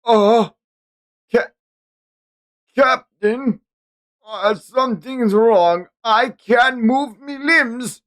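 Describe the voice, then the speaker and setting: A man's voice: extremely high pitch, extremely slow, long pauses, a bit bright, voice pushed up, heavily acted. A man voicing a frightened, obedient pirate deckhand in a manga read-along, stammering in panic. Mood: alarmed. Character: frightened, obedient sailor serving a pirate captain.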